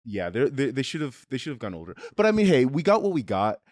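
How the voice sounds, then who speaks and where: A man's voice: medium pitch, big pitch swings, a little fast, darkish tone, no room sound, studio quality. A man hosting a casual review chat, talking in a conversational, hedging way. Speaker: video review host.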